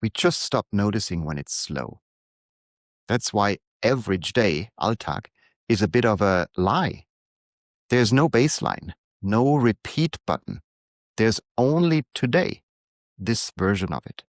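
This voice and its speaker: A middle-aged man, speaking calmly and reflectively in a prepared monologue to his readers. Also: lowish voice, no echo, clean studio sound. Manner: calm, unhurried, reflective. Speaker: newsletter author and coach.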